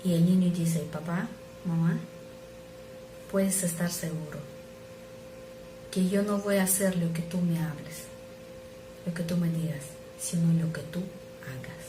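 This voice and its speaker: A middle-aged woman who is not a native speaker, preaching an earnest, measured sermon that sometimes drops to soft asides. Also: on the low side. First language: not Spanish. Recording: echoey room with noise.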